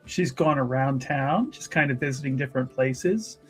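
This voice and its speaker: A man playing a tabletop roleplaying game, casually improvising in a wordy, hesitant way with careful pauses. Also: medium pitch, breathy edge, soft background music, poor audio. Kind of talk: casual improvised in-character recap and questions.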